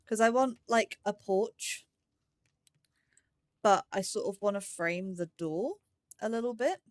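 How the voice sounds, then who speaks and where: Female let's play host: unhurried delivery, medium pitch, casual thinking-aloud commentary with playful turns of phrase. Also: no echo, studio quality. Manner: chatty thinking aloud, playful cutesy wording.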